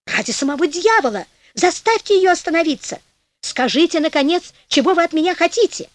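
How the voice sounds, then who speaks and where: A woman voicing a sly male devil who speaks in a low, insinuating way and then wails and begs. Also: animated, brisk, no room sound. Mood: pleading. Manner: insinuating, turning to loud pleading. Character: sly wheedling male devil, later wailing.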